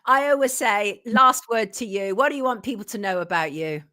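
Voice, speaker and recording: A woman's voice: mid-range voice, lively intonation, fairly quick, sharp tone. A woman hosting a live-stream talk show, chatty and effusive, reading viewer comments aloud. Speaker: host.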